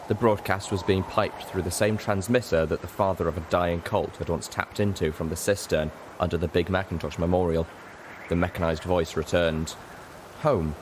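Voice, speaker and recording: A man reading a story aloud in dramatic, scripted first-person narration. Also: sits low. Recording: faint background noise.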